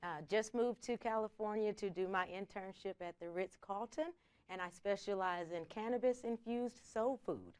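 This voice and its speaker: A woman's voice: medium pitch, normal speed, darkish tone, clear, resonant tone. A woman of thirty-five from Louisiana, a chef chatting casually as a contestant. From Louisiana, USA. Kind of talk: casual chat.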